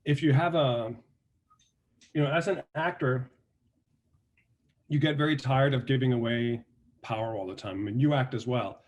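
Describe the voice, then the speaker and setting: A man's voice: mid-range voice, moderate pace, long pauses. A man with a Danish accent talks casually in an interview about his work. He tells stories at an easy pace, restarting sentences and adding fillers as he goes. His voice sits in a middle range. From Denmark. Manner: relaxed storytelling with many restarts and fillers. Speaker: actor and guest.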